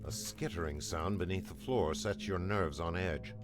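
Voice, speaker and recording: Man as a very deep, ominous dungeon narrator. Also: normal speed, loud music behind the voice, lightly acted. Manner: grave, atmospheric storytelling.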